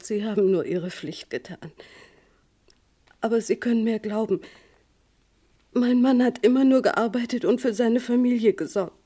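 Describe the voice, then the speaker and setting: An actress playing a grieving, defensive widow in a radio crime drama, with a soft, breathy voice. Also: female voice, on the high side, normal speed, long pauses, lightly acted. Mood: subdued grief.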